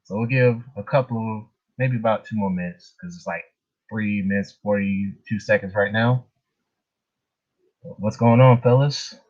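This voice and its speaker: Low-voiced man on a muffled livestream. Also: unhurried, a little mellow. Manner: informal, chuckles between remarks. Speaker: livestream host. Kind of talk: casual live chat with viewers. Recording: dull, muffled lo-fi stream audio.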